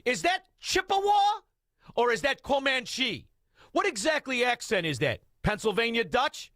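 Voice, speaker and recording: A man, hosting talk radio in a combative, mocking rant with shouted outbursts. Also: very high-pitched, sing-song, measured pace, no room sound, studio quality. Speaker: radio talk show host.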